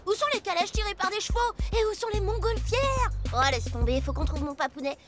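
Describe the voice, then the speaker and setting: Cheeky impulsive cartoon kid boy, super high voice, really bouncy and dramatic. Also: lively intonation, brisk, music in the background. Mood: eager, curious. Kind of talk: animated cartoon dub.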